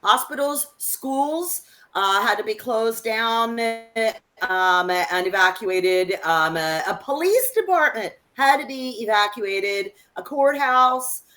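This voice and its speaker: A woman co-hosting an unscripted political discussion, speaking in an emphatic, opinionated way and halting as she gathers her thoughts. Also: a little high, animated, bright, forceful tone. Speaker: co-host of a political discussion show.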